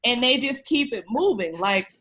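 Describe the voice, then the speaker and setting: A woman's voice: on the high side, expressive, moderate pace, fairly bright tone. A woman speaking as an interview guest, emphatic and encouraging, addressing the listener directly in a conversational way. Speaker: podcast guest, education researcher.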